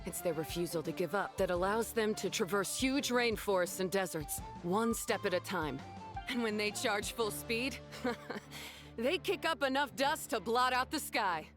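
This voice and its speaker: A woman's voice: mid-range voice, expressive, normal speed, music in the background, lightly acted. A woman voicing a spirited young woman competing in a beast-racing contest in a video game, who talks with sporty, encouraging banter. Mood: cheering.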